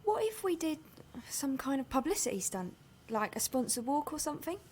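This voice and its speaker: A woman playing a soft, earnest protester in an audio drama, breathy and tentative. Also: sits high, big pitch swings, fairly quick, a little hiss or hum. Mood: tentative, hopeful.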